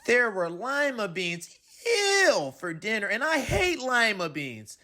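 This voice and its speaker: A man's voice: sits high, huge pitch swings, slow pace, sharp tone, voice pushed up, full character voice. A man voicing a sulky, indignant little boy in a children's picture book read-aloud, complaining in an exaggerated, dramatic way. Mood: disgusted whining. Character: sulky, whiny, indignant little boy having a bad day.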